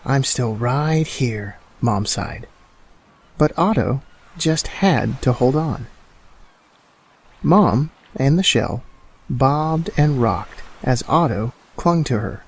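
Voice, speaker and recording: Slow gravelly male storyteller. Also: medium pitch, very animated. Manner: slow, gentle storybook reading. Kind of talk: reading children's bedtime stories.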